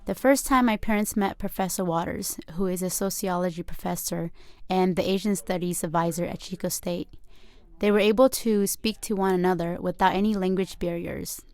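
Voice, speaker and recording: A woman in her twenties, a student filmmaker, narrating her documentary in a calm, reflective, measured way. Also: lowish voice, dry recording. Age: 20s.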